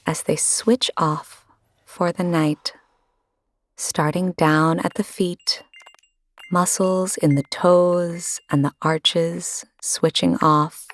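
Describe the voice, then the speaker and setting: A woman leading a guided sleep meditation, calmly giving instructions in short phrases with long pauses. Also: sits low, gravelly, no echo.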